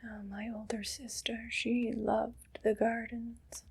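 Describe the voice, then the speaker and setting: A woman playing a haughty, condescending evil queen in a whispered ASMR roleplay, breathy and deliberate. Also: on the high side, flat delivery, exaggerated voice. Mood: wistful, languid. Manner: slow, deliberate, imperious and condescending.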